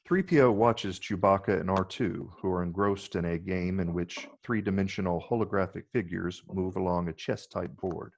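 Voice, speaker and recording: A man's voice: low-pitched, normal speed, vocal fry, hardly any pauses. A man narrating stage directions in a scripted reading.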